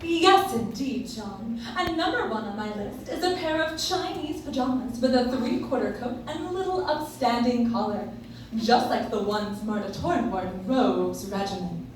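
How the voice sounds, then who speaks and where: A woman's voice: on the high side, expressive, unhurried. Woman acting chatty radio ad lady, echoey. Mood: bright, chatty. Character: chatty woman in a scripted sponsor interlude. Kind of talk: old-time radio advertising banter. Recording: echoey distant room recording, faint noise.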